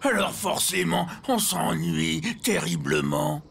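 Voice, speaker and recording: A man voicing a lonely, big-toothed but harmless male night monster in a cartoon, with a gravelly voice. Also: on the high side, slow, heavily acted. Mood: plaintive. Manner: plaintive, then gleeful. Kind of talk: cartoon voice acting.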